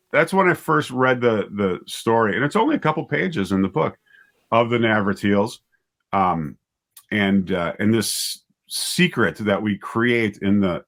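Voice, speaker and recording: Low-voiced man, halting storyteller. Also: fairly bright tone. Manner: halting, with repeated words and self-corrections. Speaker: writer and director, interview guest. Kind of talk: conversational interview storytelling.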